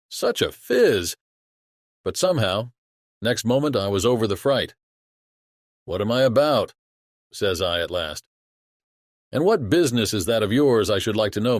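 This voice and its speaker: A salty, talkative sailor, played by a man spins a yarn to his shipmate in a dramatized audiobook. The voice is on the low side, colloquial and full of exclamations, recorded dry and close. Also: very animated, moderate pace, pauses often, no echo. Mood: animated musing.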